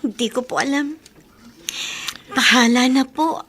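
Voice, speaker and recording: A woman's voice: sits high, a little slow, a bit bright, slightly rough, heavily acted. A woman playing an earnest, feisty young Filipino woman in a radio drama, speaking emotionally and emphatically. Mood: hurt. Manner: emotional, emphatic, mixes in English phrases.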